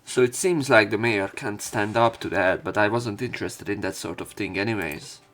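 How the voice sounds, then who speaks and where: A man reading visual novel narration aloud as a let's play commentator, somewhat halting and sick with a cough. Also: lowish voice, fairly bright tone.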